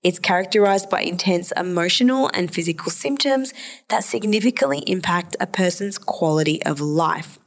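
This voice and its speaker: A woman podcast host and psychologist explaining a topic in a solo episode, her voice a bit hoarse and nasal from a sore throat. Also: fairly low pitch, expressive, normal speed.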